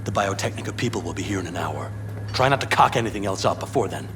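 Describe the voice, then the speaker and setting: An actor playing a stern, angry nomad boss in a video game, with a forceful, scolding manner. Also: male voice, deep voice, animated, quick pace, recorded from a distance, noisy background.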